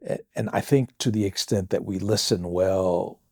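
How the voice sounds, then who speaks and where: A Samoan man, a pastor, answering listener questions on a podcast in a slow, measured way with thoughtful pauses and a Samoan accent. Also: low voice, fairly even intonation, dry recording, studio quality. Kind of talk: reflective podcast talk.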